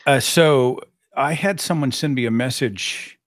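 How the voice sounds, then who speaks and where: A middle-aged man with a raspy voice, hosting a casual live-stream chat and rambling along with plenty of fillers. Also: mid-range voice, unhurried, dry recording, clean studio sound. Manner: rambling, conversational, with frequent fillers. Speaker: podcast host.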